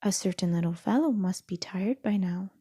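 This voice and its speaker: Woman as a gentle, husky mother kangaroo. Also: medium pitch, normal speed, dry recording, clean studio sound, natural voice. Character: gentle, caring mother kangaroo, storybook animal.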